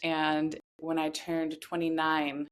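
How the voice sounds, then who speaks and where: A woman in her thirties with a distinctly low voice talks reflectively as an interview guest. She is earnest and chooses her phrases with deliberate care, letting them land in a measured way. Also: monotone. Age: 30s. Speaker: podcast guest, somatic voice guide. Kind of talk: reflective personal interview talk.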